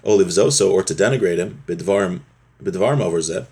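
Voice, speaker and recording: A man with a fairly low, husky voice teaches a religious text in an informal lesson. He speaks conversationally, explaining as a teacher would to a student. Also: quick pace, dark tone. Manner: conversational, explanatory, acts out short examples. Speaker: Torah teacher.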